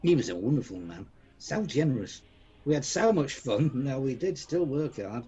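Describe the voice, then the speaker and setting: A man's voice: mid-range voice, big pitch swings, moderate pace, dark tone, lightly acted. A man acting a bitter, regretful old miser, haunted and pleading, in an amateur radio play. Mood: nostalgic. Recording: dull home video-call recording, background noise.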